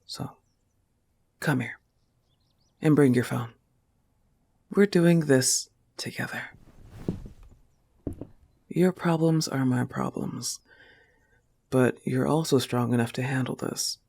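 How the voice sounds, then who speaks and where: A man voicing a possessive, tender goth wolf-girl girlfriend in an intimate audio roleplay, soft-spoken and often slipping toward a whisper. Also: mid-range voice, slow, creaky, pauses often, breathy edge, full character voice. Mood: firm, supportive.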